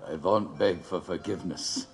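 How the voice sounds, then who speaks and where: Resolute male prisoner played by a man, audio drama: gravelly, lowish, proud, firm. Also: moderate pace. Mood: defiant. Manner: defiant, firm.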